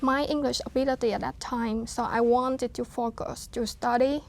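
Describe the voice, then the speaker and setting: Woman, halting speech. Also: a little high, fairly even intonation. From Vietnam. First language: Vietnamese. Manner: hesitant, many fillers and restarts, laughs softly. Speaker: interview guest. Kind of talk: casual interview talk.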